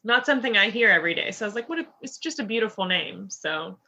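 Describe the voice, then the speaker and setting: A woman's voice: mid-range voice, lively intonation, fast, pushed and bright, lo-fi sound. A woman hosting a casual podcast interview, upbeat and chatty, with plenty of fillers and "like". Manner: upbeat, lots of fillers and 'like'. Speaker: podcast host.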